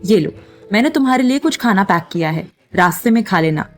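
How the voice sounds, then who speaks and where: A woman's voice: mid-range voice, lively intonation, a little fast, soft background music, close to an everyday voice. A woman playing a doting middle-aged mother who fusses and lightly scolds, in an audio story drama. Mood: doting, fussy.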